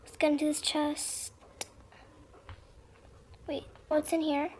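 A woman's voice: high-pitched, level tone, slow, a little mellow, slight background noise. A young woman giving casual, nervous commentary as she plays a horror game, with an informal, jumpy manner. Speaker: gamer, video creator.

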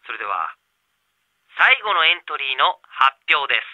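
A man's voice: fairly high pitch, big pitch swings, moderate pace, no echo. A man playing a radio contest host announcing entries in a radio drama. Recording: dull radio sound.

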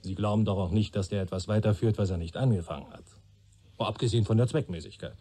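A man acting a correct young police detective in a radio crime drama. His voice is dark and relaxed, with a dry, skeptical, matter-of-fact delivery. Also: fairly low pitch, fast, lightly acted. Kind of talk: German radio play dialogue.

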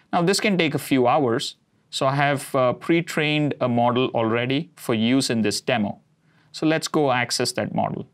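Man calmly walking through a tech demo. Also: mid-range voice. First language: Konkani. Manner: steady explanatory, occasional "uh" fillers. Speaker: cloud product presenter. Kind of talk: scripted tech demo narration. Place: studio set with map backdrop.

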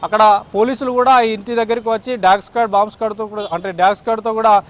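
A man giving an unscripted live field report, halting and repeating himself, with English words mixed in. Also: high-pitched, fairly even intonation. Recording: faint outdoor street and traffic noise.

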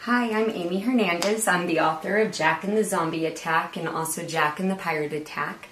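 A woman's voice: on the low side, fairly quick, fairly bright tone, slight background noise. A woman in her thirties, a guest author, talking informally to the camera. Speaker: children's book author, guest. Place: small indoor room with window air conditioner. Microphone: camera a few feet away. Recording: echoey room.

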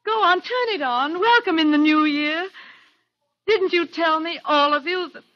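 A self-possessed young heroine of a 1940s radio drama, clever and precise. Her high voice sounds dry and close on a dulled old recording. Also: normal speed, no echo, highs cut off. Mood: elated. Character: composed, clever young woman, coolly pert.

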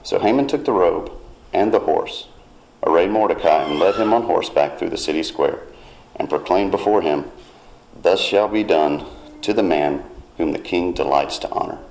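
A man with a very low voice preaches in church. His tone is gravelly, and he speaks in a measured, steady way. When he reads scripture, his emphasis rises. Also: a little hiss or hum, low-quality recording. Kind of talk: sermon retelling scripture.

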